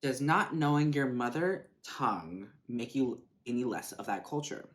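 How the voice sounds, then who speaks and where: Young man, born in Vietnam. Earnest, reflective talk to camera, mid-pitched. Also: animated, a little slow, a bit bright. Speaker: vlogger. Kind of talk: casual mukbang talk to camera.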